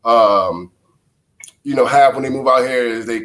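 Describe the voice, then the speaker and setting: Give me a relaxed middle-aged man from Chicago with a congested, nasal voice and an easygoing manner. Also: medium pitch, normal speed, low-quality recording. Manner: laid-back, casual, many fillers. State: stuffy, nasal from a flu. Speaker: guest, former baseball player.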